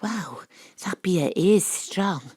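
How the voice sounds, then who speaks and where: A woman with a Welsh accent playing a brash, wry, tipsy private detective in an audio drama, deadpan on a close microphone. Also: fairly low pitch, drawn-out words, slightly rough, no room sound, heavily acted. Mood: dazed, whispery. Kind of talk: audio drama acting with first-person narration. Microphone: close home studio microphone.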